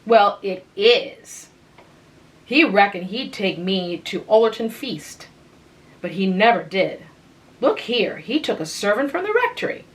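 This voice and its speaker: A woman reading aloud, voicing a sturdy, practical fourteen-year-old girl who is brisk and motherly. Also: medium pitch, big pitch swings. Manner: bright, emphatic and chatty.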